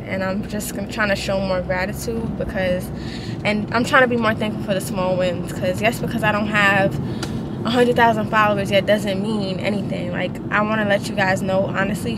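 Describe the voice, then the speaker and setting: Young woman vlogger, casual and slangy, close camera mic, road noise behind her. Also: mid-range voice. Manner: chatty, slangy, encouraging. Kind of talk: casual vlog talk to camera. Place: car, then empty apartment. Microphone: handheld camera close up. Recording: noisy car then echoey room.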